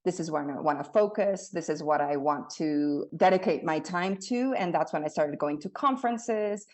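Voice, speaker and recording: A woman in her thirties with a low voice talks through her own story as an interview guest. She grew up in Latin America and speaks earnestly, restarting her sentences often as she goes. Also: normal speed. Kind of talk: casual conversational storytelling.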